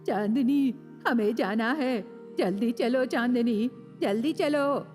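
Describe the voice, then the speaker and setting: Cartoon grandmother played by a woman: elderly, dreamy villager, unhurried speech, heavy reverb over soft music. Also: a little high. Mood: frightened, urgent.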